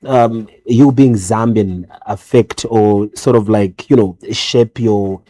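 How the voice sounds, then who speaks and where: A man hosting a breakfast talk show, asking questions casually and listening with steady backchannel murmurs. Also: fairly low pitch, slow pace, dry recording. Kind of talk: casual interview.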